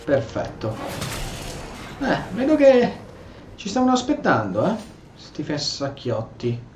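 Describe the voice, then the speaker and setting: An Italian man with a fairly high, animated voice, commenting casually as he plays a game. He stammers and repeats words while role-playing along. His voice sits in an echoey room over clear game music. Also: a little slow. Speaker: gamer, let's play host. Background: video game music.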